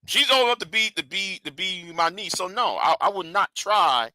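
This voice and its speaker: A man's voice: high-pitched, expressive, moderate pace, bright, forceful tone, no echo, rough recording. A man in his forties, a live stream host, rambling in casual commentary with lots of stammered repeats. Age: 40s.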